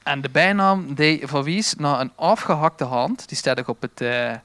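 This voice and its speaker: A man with a Limburgish Dutch accent co-hosting a podcast in casual, halting talk. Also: fairly high pitch, fairly bright tone, dry recording. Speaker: podcast co-host. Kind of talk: casual chat.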